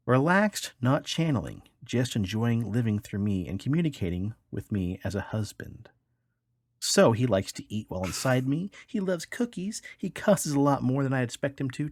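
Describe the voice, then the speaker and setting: A man's voice: mid-range voice, darkish tone, dry recording, clean studio sound. A man co-hosting a podcast, reading odd news stories aloud with casual, wry, joking commentary. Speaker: podcast co-host.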